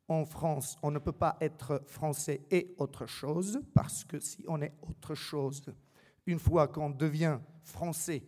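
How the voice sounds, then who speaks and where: A man from Albania addresses a public gathering in a somewhat high-pitched voice. He speaks off the cuff with wry irony, leaning on rhetorical questions and repetition. The sound carries the long reverb of a hall. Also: darkish tone. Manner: wry, ironic humour. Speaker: invited speaker. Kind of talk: public talk, semi-improvised.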